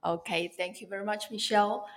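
A woman from China with a Chinese accent, speaking English as a second language, talking as an interview guest in a halting way, often searching for words. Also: mid-range voice, steady tone, a bit bright. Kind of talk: casual interview talk.

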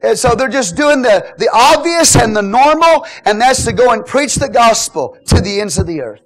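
A middle-aged man preaching a sermon as pastor, telling jokes and swinging from quiet asides to loud exhortation. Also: extremely high pitch, expressive, moderate pace, rough recording.

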